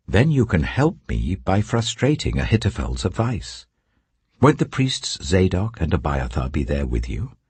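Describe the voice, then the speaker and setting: A man playing a sorrowful, commanding old king in a solemn, measured audiobook reading of scripture. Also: extremely low pitch, darkish tone, slightly rough, dry recording, heavily acted. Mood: grave, commanding.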